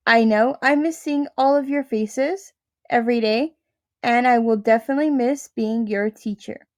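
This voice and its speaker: A woman who teaches first grade, talking slowly and gently to her young pupils in a classroom address. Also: a little high, no room sound, studio quality. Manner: slow, careful, warm-hearted delivery to young kids.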